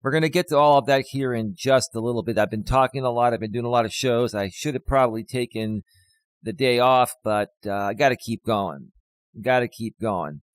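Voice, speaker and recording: A man hosting his own live stream as a life coach, talking casually to viewers with a slightly hoarse voice and lots of fillers. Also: mid-range voice, fairly quick, dry recording. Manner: rambling, many fillers, talks straight to viewers.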